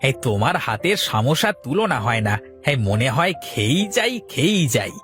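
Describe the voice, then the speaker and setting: A man's voice: mid-range voice, moderate pace, music in the background, natural voice. A man as a chummy, admiring regular customer. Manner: chummy, flattering. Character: friendly, admiring male samosa customer. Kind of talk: cartoon story dialogue.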